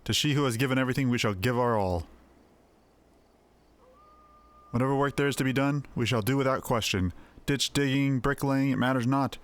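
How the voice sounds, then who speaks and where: A man's voice: medium pitch, lightly acted. Man voicing a courteous, earnest family man. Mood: resolute. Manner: formal, polite, measured. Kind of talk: video game character dialogue. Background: game music.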